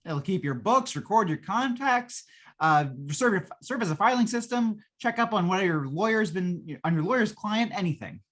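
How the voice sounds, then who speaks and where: A woman's voice: medium pitch, lively intonation, moderate pace, lightly acted. A woman playing a folksy, long-suffering salesman in a 1950s-style radio drama, giving colloquial, patient sales patter. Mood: eager, explanatory.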